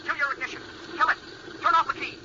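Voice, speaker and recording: A man's voice: extremely high pitch, steady tone, quick pace, pushed and bright, full character voice. An actor playing an urgent, commanding man talking a pilot down over the radio in a 1940s radio drama. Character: urgent man giving landing instructions. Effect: radio transmission. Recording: muffled, noisy vintage radio transmission.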